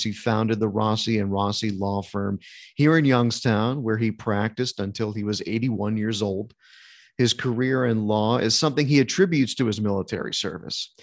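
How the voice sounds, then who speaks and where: A man's voice: lowish voice, no room sound, clean studio sound. A man hosting a podcast, chatting in a friendly, conversational way and then starting an interview. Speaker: podcast host.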